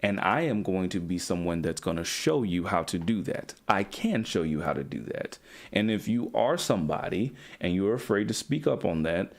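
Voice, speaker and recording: A man's voice: sits low, big pitch swings, normal speed, creaky. A man coaching young men in a blunt, profane, preachy talk aimed at the camera. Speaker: men's coach. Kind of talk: direct-to-camera motivational talk.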